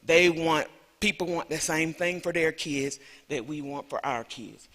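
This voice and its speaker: A woman's voice: low-pitched, measured pace, fairly bright tone. A woman from Alabama with a Southern US accent, giving a chatty, rambling conference talk as a visiting lecturer, full of self-deprecating asides. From Alabama, USA. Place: large lecture hall. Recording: reverberant hall.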